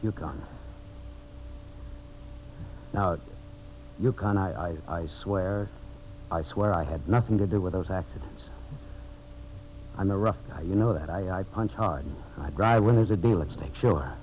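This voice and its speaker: Actor as rough, blunt boss, muffled old radio. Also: male voice, sits low, moderate pace, close to an everyday voice. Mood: pleading. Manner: blunt, forceful, repeats himself. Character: rough, hard-driving logging boss with a troubled conscience. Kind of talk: 1950s radio drama acting. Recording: muffled, noisy 1950s radio recording.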